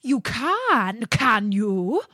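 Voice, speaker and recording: A woman's voice: fairly high pitch, very animated, drawn-out words, bright, forceful tone, dry recording, studio quality, exaggerated voice. A woman voicing a menacing male storybook wolf in a snarling, challenging manner.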